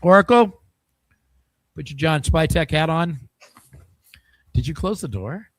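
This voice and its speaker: A man's voice: fairly high pitch, lively intonation, a little slow, no room sound. A man hosting a casual podcast, chatty and joking, leaning on fillers like "I mean". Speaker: podcast host.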